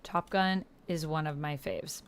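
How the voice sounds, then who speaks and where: A woman with a low-pitched voice hosts a casual livestream. She talks in an effusive, chatty way, reading and answering chat messages as she goes. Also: steady tone, measured pace, slight background noise. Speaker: livestream host playing a text roleplay game.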